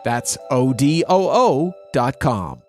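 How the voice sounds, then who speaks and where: Very animated man reading an ad. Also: mid-range voice, slow. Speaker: advertisement announcer. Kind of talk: scripted ad read. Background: light music bed.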